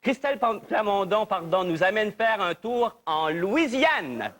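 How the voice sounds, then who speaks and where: A man's voice: high voice, very animated, measured pace, very noisy. A man hosting a television game show in a chatty, jovial, exclamatory manner. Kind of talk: live presenting, chatty. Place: television studio.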